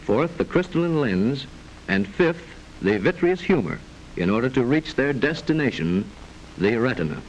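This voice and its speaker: Lively vintage educational film narrator, a man. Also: mid-range voice, muffled recording. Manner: formal, measured, didactic, precise enunciation. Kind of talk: scripted mid-century educational film narration. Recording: hissy vintage mid-century film soundtrack.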